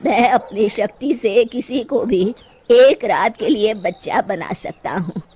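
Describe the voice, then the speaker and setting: Woman voicing a slow, solemn holy sage. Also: mid-range voice, darkish tone, breathy edge, pitched below the performer's own voice. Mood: solemn, instructive.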